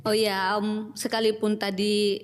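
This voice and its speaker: A middle-aged woman with a Batak Indonesian accent, a church pastoral worker speaking in a relaxed, reflective interview manner with many pauses and fillers. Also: mid-range voice, big-room sound. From Batak, North Sumatra, Indonesia. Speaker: church pastoral team member, guest. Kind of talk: casual interview talk.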